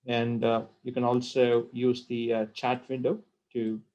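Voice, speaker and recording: A man presenting a software webinar on a computer call, talking with many fillers and repeated words. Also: medium pitch, completely flat delivery, a little slow, dull audio. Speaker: product presenter.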